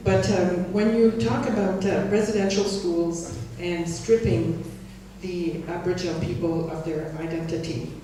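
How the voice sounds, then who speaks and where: A middle-aged woman from Montreal, a native Italian speaker, giving a personal comment from the audience with deliberate, emphatic phrasing. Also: fairly low pitch, steady tone, mellow. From Montreal, Canada. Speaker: audience member. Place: church hall. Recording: echoey hall, distant mic, faint noise.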